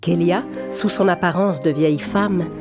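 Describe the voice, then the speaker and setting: A woman's voice: fairly low pitch, unhurried, over music. A woman narrator telling a children's fairy tale in a scripted storytelling style.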